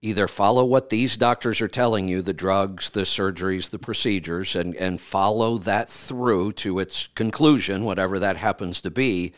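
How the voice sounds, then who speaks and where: Firm, low-voiced man hosting a radio show. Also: normal speed, few pauses, dry recording, studio quality. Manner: firm, direct, repeats himself. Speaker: radio health show host. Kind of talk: advising a caller on air. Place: radio studio.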